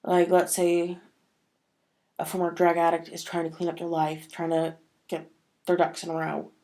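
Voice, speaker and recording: A woman's voice: lowish voice, monotone, moderate pace, mellow. A woman talking casually to camera in a vlog, sniffly from a cold and rambling with many fillers. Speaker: vlogger.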